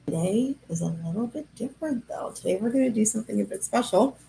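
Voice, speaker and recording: A young adult woman with a gravelly, creaky edge and a nasal, cold-stuffed voice hosts a casual livestream. She rambles cheerfully and amuses herself as she talks to her viewers from an apartment. There is a faint hiss of noise under her voice. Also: a little high, expressive, quick pace, dark tone, low-quality recording. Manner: chatty, rambling, self-amused. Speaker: podcast host. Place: high-rise apartment indoors.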